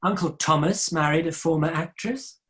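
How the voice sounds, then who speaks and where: A man acting an earnest, defiant young Victorian gentleman in a dramatic play reading. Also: on the high side, normal speed, lightly acted.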